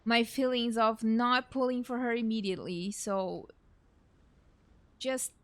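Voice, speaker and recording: A woman's voice: on the high side, measured pace, a bit bright, dry recording. A young woman game streamer reacting casually as she plays, slangy and dramatically exasperated. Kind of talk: casual live gameplay reaction. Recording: game music behind the voice.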